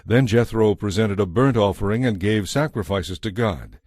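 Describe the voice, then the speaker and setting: Male narrator for scripted Bible storytelling, lowish pitch, measured delivery, dry studio-tight sound. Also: a little mellow, no room sound.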